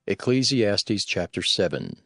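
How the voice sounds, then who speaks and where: A man's voice: low voice, big pitch swings, measured pace, a bit bright, no room sound, studio quality. A man making formal scripted announcements for an audio book of scripture. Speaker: chapter announcer.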